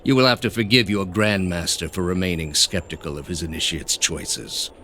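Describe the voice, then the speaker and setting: An actor playing a cold, pompous male grandmaster of an ancient zealous order in a video game, speaking deliberately and with condescension. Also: deep voice, bright, forceful tone, a little hiss or hum. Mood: coldly condescending. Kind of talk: dramatic video game dialogue.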